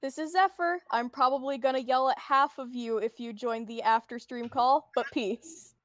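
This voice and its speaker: A woman's voice: high voice, normal speed, fairly bright tone, no echo. A woman voice actor joking bluntly on a live stream call. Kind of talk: casual live stream chat. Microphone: video call.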